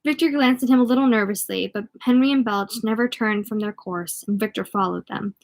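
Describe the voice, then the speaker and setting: A woman's voice: mid-range voice, brisk, vocal fry. A woman reading a novel aloud as an amateur, sometimes tripping over words and going back over phrases. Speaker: amateur audiobook reader.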